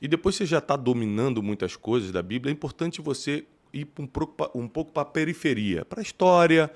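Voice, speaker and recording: A man from Rio de Janeiro with a carioca accent, a theologian hosting an interview who asks questions and thinks aloud in an animated way. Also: fairly high pitch, fairly quick. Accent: Carioca Brazilian Portuguese. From Rio de Janeiro, Brazil. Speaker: podcast host and theologian. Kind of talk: conversational interview.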